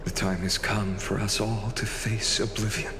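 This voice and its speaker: A man playing a solemn heroic comrade in a video game, speaking in a grave, measured way. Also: lowish voice, flat delivery, lightly acted. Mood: solemn resolve. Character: solemn heroic male comrade calling to a final journey. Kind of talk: dramatic video game acting. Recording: long hall reverb, noisy ambience.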